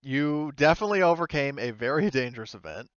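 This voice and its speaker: A man's voice: on the high side, expressive, quick pace, clean tone, dry recording, highs cut off. A man talking casually as game master of a tabletop game, easygoing and prone to swearing.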